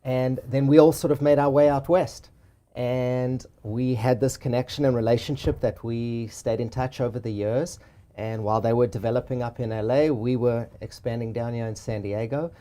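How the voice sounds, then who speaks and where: A man with a South African accent, a real estate agent explaining his business in a measured way during an interview. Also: mid-range voice, fairly even intonation, mellow. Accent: South African with a Boston tinge. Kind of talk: interview conversation.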